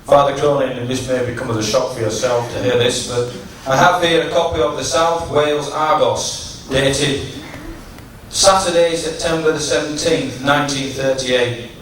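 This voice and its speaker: A man giving a humorous after-dinner tribute speech to an audience, in a teasing, deliberate storytelling manner. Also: medium pitch, fairly even intonation, fairly bright tone. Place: large function room at a dinner, distant microphone. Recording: echoey noisy hall, from far off.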